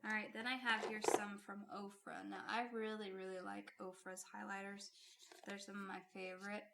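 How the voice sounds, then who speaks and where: A woman's voice: medium pitch, level tone, normal speed, creaky, very noisy. A woman hosting a casual makeup vlog, chatty and friendly, her voice still a little off from a recent illness. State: recovering from illness, voice off. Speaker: beauty YouTuber.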